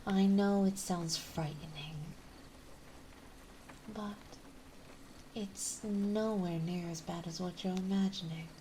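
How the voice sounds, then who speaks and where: A woman playing a gentle yet possessive, dominant vampire mistress in an ASMR roleplay, speaking softly and soothingly, often in a breathy whisper. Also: lowish voice, fairly even intonation, a little hiss or hum, poor audio. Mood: gently coaxing. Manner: soothing, coaxing, slow and deliberate.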